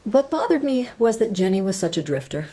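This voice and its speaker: A woman's voice: mid-range voice, big pitch swings, fairly quick, warm tone, husky. An actress playing a grieving, genteel mother in a crime radio play, restrained and careful in her speech. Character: grieving, genteel mother, reserved and slightly snobbish.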